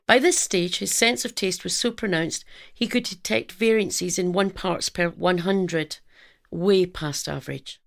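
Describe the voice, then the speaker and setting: An actress playing an earnest research scientist in a radio drama, speaking in a measured, clinical manner. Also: female voice, lowish voice, vocal fry. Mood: composed. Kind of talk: audio drama acting.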